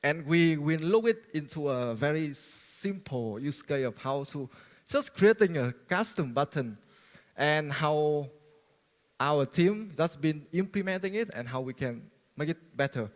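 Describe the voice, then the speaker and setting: A man gives a friendly technical talk from a conference stage. His voice sits a little high, and he stops often on fillers and restarts. Long reverb from the large hall trails each phrase. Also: animated, a little slow. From Vietnam. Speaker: conference speaker.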